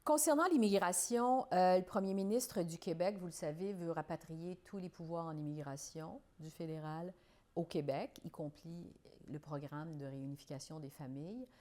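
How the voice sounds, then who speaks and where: A woman hosts a political interview and asks her questions in a measured, precise way. Her voice sits on the low side. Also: darkish tone. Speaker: interviewer, show host.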